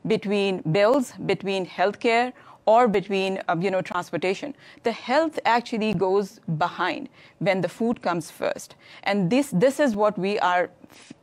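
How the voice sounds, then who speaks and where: A woman in her thirties from Pakistan, a hospital physician on a studio panel, speaking earnestly and often restarting her phrases. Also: mid-range voice. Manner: earnest, searching for words, repeats phrases. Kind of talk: panel discussion. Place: studio stage with curtain backdrop.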